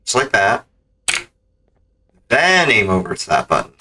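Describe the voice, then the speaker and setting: A man's voice: on the low side, big pitch swings, unhurried, over music. A man streaming a speedrun with casual, rambling, self-critical commentary.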